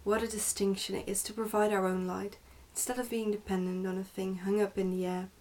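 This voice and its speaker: A woman's voice: medium pitch, flat delivery, brisk, a little mellow, natural voice, rough recording. A woman voicing a stern, pompous goblin father in an ASMR storybook reading, scolding and commanding.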